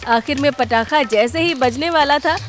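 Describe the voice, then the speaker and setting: A woman's voice: high-pitched, normal speed. A woman narrating a scripted comic story for a cartoon, in the role of storyteller.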